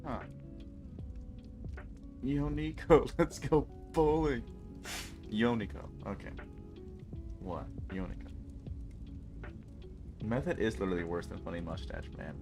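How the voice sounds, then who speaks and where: A man's voice: fairly low pitch, slow, pauses often, music in the background. A man with a stuffy nose chatting casually and rambling jokily with his chat while streaming a game. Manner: rambling, jokey, talks to chat.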